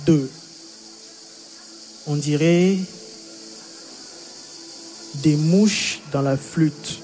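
An actor playing a stern jailer who speaks curtly and threatens. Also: male voice, on the high side, extremely slow, dark tone, soft background music. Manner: curt, threatening. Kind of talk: stage drama.

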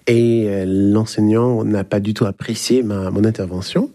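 A male lecturer from the English-speaking Caribbean, whose first language is English, tells a personal anecdote. His voice is lowish and relaxed, and he picks his words in a hesitant, measured way. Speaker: university linguistics lecturer, interviewee.

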